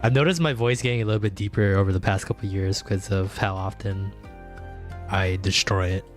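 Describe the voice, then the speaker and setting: A man's voice: lowish voice, steady tone, fairly quick, faint music behind. A man co-hosting a casual live-stream podcast chat, teasing his co-host and laughing easily.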